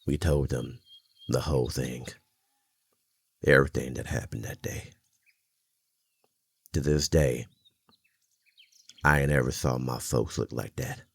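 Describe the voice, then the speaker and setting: An actor playing an older small-town mountain man, a haunted but gentlemanly storyteller in an intimate ASMR roleplay, speaking in halting, folksy phrases with a gravelly, husky voice. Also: extremely low pitch, fairly even intonation, no room sound, heavily acted. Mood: somber, haunted.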